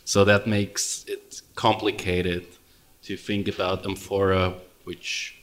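A man speaking as a winemaker on a live panel in a measured, plain-spoken way. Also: low voice, level tone, fairly bright tone.

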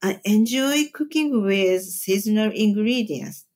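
A woman with a Japanese accent, a temple chef answering interview questions in slow, careful English. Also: medium pitch, lively intonation, a little mellow. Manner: slow, deliberate, measured phrasing. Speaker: temple chef and cooking teacher.

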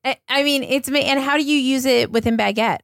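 A woman's voice: high voice, fairly even intonation, fast talker, gravelly, fairly bright tone, dry recording, studio quality. A woman hosting a casual interview, speaking in an informal and enthusiastic manner. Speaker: podcast host, interviewer.

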